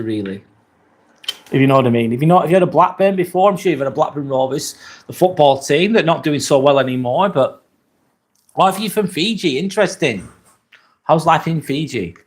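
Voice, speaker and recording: A man in his forties with a Lancashire accent, hosting a casual live stream, chatting in a relaxed, rambling way with plenty of ums. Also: on the high side, moderate pace, long pauses. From northwest England. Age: 40s. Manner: relaxed, rambling, reads chat comments aloud with many fillers. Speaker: livestream host.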